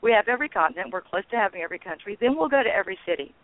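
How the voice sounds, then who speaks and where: A woman's voice: mid-range voice, animated, dry recording. An older woman speaks as an interview guest, feeling her way through her answers with frequent ums and false starts. Her voice comes down a narrow, tinny phone line. The sound is dry with no room around it. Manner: halting, with many ums and restarts. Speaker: guest, founder of a peace network. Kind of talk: casual radio interview.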